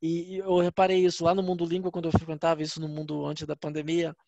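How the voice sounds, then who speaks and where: A man's voice: a little high, completely flat delivery, gravelly, dark tone, dry recording, dull audio. Earnest Brazilian man, dry close sound. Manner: earnest, halting with restarts, agreeable. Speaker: podcast co-host. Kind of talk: casual podcast chat.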